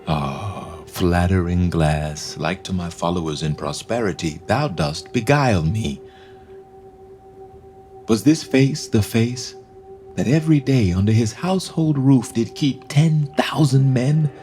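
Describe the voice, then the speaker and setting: A man's voice: on the low side, dark tone, long reverb tail, faint music behind, close to an everyday voice. An actor playing a proud, bitterly ironic deposed king who mourns himself in theatrical Shakespearean verse for radio. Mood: anguished, brooding. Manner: theatrical verse delivery, musing, mocking pauses. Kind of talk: Shakespearean radio drama acting.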